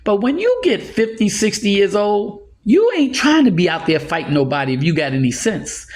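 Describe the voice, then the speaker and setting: A man's voice: high-pitched, very animated, moderate pace. Earnest older man giving life advice. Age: 60s. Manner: earnest, repetitive, chuckling now and then. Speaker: motivational speaker. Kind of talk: casual advice monologue.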